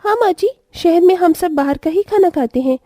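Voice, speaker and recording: A woman's voice: extremely high pitch, lively intonation, normal speed, warm tone, no echo, studio quality, pitched above the performer's own voice. A woman voicing a haughty, spoiled rich city daughter-in-law who complains scornfully in a family story. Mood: defiant.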